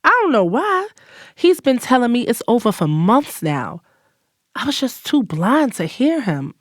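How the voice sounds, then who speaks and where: A woman's voice: on the high side, sing-song, unhurried, no room sound, clean studio sound. A woman playing a hurt, scorned lover in an audio drama, telling it in first person with emphatic, sarcastic delivery. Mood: bitter, stunned. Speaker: narrator and lead character in an audio drama.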